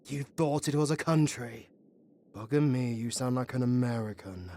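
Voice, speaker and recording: A man's voice: mid-range voice, moderate pace, natural voice. A British man playing a flirty, sardonic undead necromancer villain in a theatrical audio roleplay. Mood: exasperated, bitter. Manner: theatrical, swearing, swinging between hushed murmurs and outbursts.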